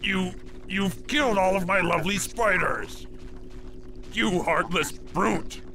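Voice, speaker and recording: A man's voice: high-pitched, slow pace, vocal fry, background noise, full character voice. A man playing a pathetic, spiteful spider keeper in a video game, whimpering and melodramatic. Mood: distraught. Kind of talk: exaggerated video game character acting.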